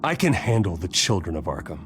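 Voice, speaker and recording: An actor playing an earnest, steady hero in a dramatic video game, reasoning firmly and urgently. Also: male voice, deep voice, fairly quick, background noise. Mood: defensive.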